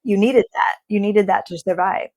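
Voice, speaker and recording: A woman's voice: mid-range voice, moderate pace, darkish tone. A woman co-hosting a podcast, talking in a reflective, encouraging and reassuring way. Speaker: podcast co-host. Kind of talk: supportive, reflective conversation.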